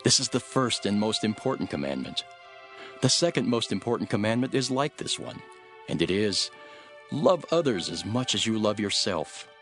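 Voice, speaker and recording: A man playing an authoritative teacher in a Bible audio drama, speaking in a firm, emphatic, measured way. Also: fairly low pitch, sing-song, a bit bright, faint music behind. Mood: earnest teaching.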